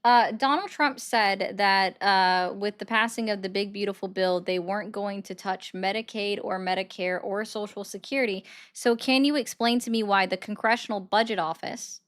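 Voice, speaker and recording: A woman hosting a political call-in livestream, brisk and sardonic, often cutting callers off. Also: medium pitch, fairly even intonation, fairly bright tone. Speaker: livestream host taking calls.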